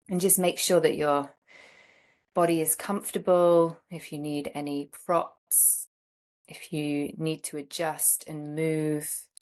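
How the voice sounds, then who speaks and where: Slow, low-voiced woman guiding meditation. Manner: gentle, unhurried phrasing with long pauses. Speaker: meditation and yoga teacher. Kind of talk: guided meditation, slow instruction.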